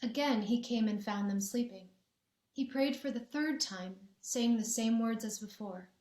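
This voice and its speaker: A woman narrating a gospel passage in a church dramatic reading, steady and clear. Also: a little high, unhurried. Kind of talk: scripture narration. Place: church sanctuary. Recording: echoey church room.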